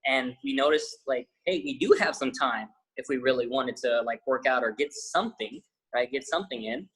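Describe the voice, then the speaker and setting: Husky-voiced young man, loose and rambling. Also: medium pitch, moderate pace, rough recording. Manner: loose, rambling, many fillers and restarts; says he has a loud voice. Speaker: fitness trainer, guest. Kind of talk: casual interview chat.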